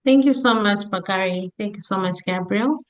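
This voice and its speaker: A woman's voice: mid-range voice, moderate pace. A woman moderating an online meeting, who politely thanks the speakers and hands over to a colleague. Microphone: video call. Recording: dull video call audio, distant from the mic.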